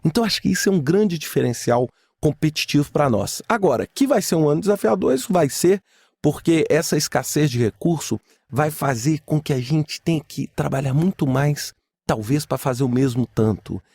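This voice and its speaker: A man's voice: on the high side, lively intonation, normal speed, creaky, a little mellow, slightly rough, no room sound, studio quality. A man with a Brazilian accent hosting a podcast alone, speaking informally and emphatically, with repeated phrases and rhetorical questions. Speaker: podcast host. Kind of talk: informal solo podcast commentary.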